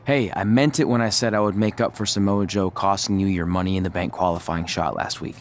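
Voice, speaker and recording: An actor playing a cocky, wisecracking champion wrestler in a video game, flippant and boastful. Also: male voice, low voice, fairly even intonation, fairly quick. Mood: eager, teasing. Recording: faint background noise.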